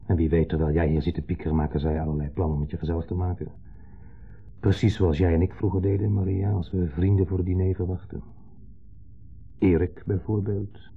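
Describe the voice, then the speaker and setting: An actor playing a wistful, brooding, middle-aged ex-husband in a radio play, speaking in wordy, hesitant, formally polite sentences with a pointed undertone. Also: male voice, low voice, creaky, slightly rough, full character voice. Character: wistful, brooding ex-husband, a long-winded dinner guest. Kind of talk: 1970s Dutch radio play acting. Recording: muffled, phone-like 1970s radio recording.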